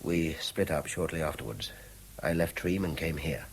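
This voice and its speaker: An actor playing a conscientious, wry middle-aged schoolteacher with an English accent and educated, hesitant phrasing in a 1970s radio drama. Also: male voice, a bass voice, moderate pace, vocal fry, slightly rough, full character voice, poor audio. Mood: wry reflection. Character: conscientious male schoolteacher, wry, guarded, hesitant but firm.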